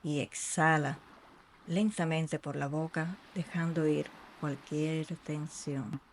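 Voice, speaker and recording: A meditation guide speaking in a soothing, unhurried way with long pauses between short phrases. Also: mid-range voice, fairly even intonation, slight background noise. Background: ambient sea sounds.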